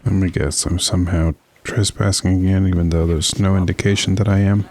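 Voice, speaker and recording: Male streamer, sarcastic, very low flat voice. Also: brisk, a little hiss or hum. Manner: sarcastic, exasperated. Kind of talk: let's play commentary.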